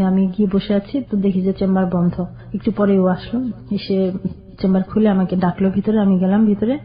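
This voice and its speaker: An older woman, a guest on a radio show, telling her own life story in a plain, halting way. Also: mid-range voice. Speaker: caller guest. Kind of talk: personal storytelling. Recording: dull lo-fi radio sound.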